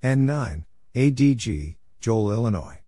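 A man reading scripted radio-practice exchanges in short, deliberate, clearly separated phrases. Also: mid-range voice, lively intonation, mellow, no echo, clean studio sound. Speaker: Morse code practice narrator.

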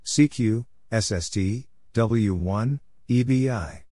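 A man's voice: lowish voice, takes it slowly, dark tone, no room sound, clean studio sound. Man announcing in clipped phrases. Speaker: Morse code practice narrator. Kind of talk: spelling out call signs and short phrases.

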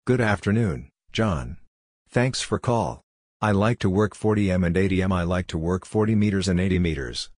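A man reads short scripted amateur radio phrases as a drill narrator, in a clipped, deliberate, phrase-by-phrase delivery. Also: low-pitched, no room sound, studio quality. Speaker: narrator of a Morse code practice drill.

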